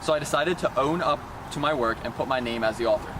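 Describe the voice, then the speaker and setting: Guy acting as an earnest founding-era revolutionary, talks quick and formal, traffic noise around him. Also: medium pitch, natural voice. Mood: earnest, defiant. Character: male colonial revolutionary statesman-writer, earnest, resolute. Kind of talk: scripted mock interview answers. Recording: noisy amateur outdoor recording, traffic.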